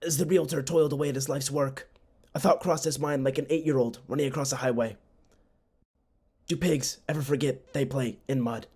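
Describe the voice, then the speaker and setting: A man's voice: medium pitch, steady tone, a little fast, darkish tone, husky. A man narrating a scripted tale for a puppet show in a theatrical, solemn, literary manner.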